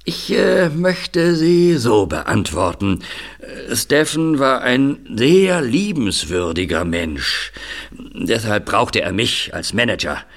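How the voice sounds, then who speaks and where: Man acting as affable older gentleman, gravelly, animated intonation, unhurried, halting and hospitable, audio drama. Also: mid-range voice, exaggerated voice. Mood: obliging, thoughtful. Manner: halting, hospitable, deliberate delivery with hesitations. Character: affable older man, scarred retired film manager breeding budgies.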